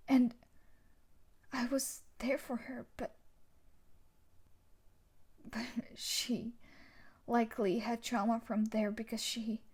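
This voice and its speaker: Slow, menacing young bully woman, acted out. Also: on the high side, a little mellow, breathy edge. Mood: feigned sorrow. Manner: menacing, deliberate, theatrical. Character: sadistic, possessive female university bully, tough and manipulative. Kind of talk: dramatic audio roleplay acting.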